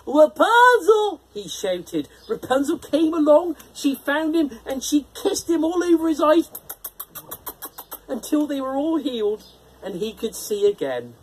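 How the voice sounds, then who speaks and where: A storyteller narrating a children's fairytale in an expressive, theatrical manner. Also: sits high, unhurried, slight background noise, low-quality recording.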